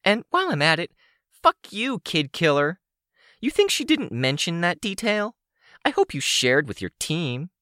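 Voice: medium pitch, sing-song, unhurried, sharp tone, no echo, clean studio sound, pitched above the performer's own voice. A narrator voicing a furious, taunting young female supervillain with a shrieking, sneering, profane delivery.

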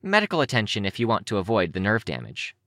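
A man's voice: fairly low pitch, huge pitch swings, fast, no room sound, studio quality, pitched above the performer's own voice, heavily acted. Man putting on a cheery, swooping young girl prodigy. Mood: eager, clinical. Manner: bright, bouncy, gleefully curious.

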